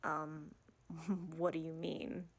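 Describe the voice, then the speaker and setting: Woman doing an awkward nerdy princess for an asmr fantasy roleplay, kinda low voice, shy n stammery, takes her time. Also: flat delivery, drawn-out words, exaggerated voice. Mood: wry, playful. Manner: hesitant, stammering, rambling, with casual modern phrasing. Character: awkward, nerdy, bashful young human princess. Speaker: audio roleplay performer. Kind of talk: ASMR romantic fantasy audio roleplay, one-sided dialogue.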